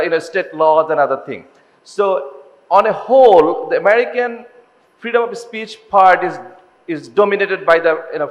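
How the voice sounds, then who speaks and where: A high-pitched man from Bangladesh, giving a measured, explanatory panel answer full of "you know" fillers. His voice rings with a long reverb in a large ballroom. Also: a bit bright.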